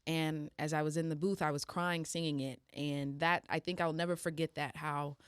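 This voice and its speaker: A woman's voice: deep voice, level tone, moderate pace, clean tone, no room sound, clean studio sound. A woman in her twenties, a singer speaking as a guest in a casual interview, earnest and reflective. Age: 20s.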